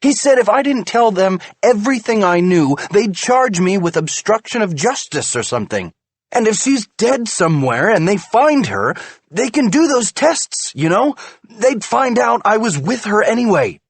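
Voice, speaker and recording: A man's voice: high voice, huge pitch swings, slow pace, breathy edge, no room sound, pitched above the performer's own voice, exaggerated voice. A man voicing a guilty, ashamed husband who pleads and defends himself in an audiobook. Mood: pleading.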